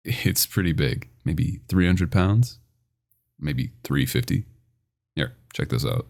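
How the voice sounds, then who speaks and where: Man acting as male vampire doctor, extremely low pitch, gravelly fry, dominant, teasing, smoothly menacing, ASMR roleplay. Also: lively intonation, slow pace, a little mellow, exaggerated voice. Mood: teasing, boastful. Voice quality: very deep, gravelly.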